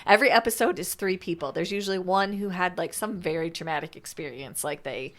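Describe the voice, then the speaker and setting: A woman with a voice a little on the low side talks as a podcast co-host. She speaks casually and without a script, explaining things in detail and dropping in conversational asides. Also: brisk. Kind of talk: casual unscripted podcast chat.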